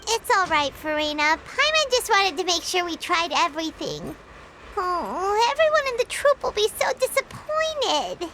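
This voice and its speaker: A woman's voice: very high voice, big pitch swings, measured pace, full character voice, rough recording. A woman voicing a tiny chatty female fairy companion in a video game, who is eager, pleading and talks about herself in the third person. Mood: pleading, upbeat. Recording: loud background noise.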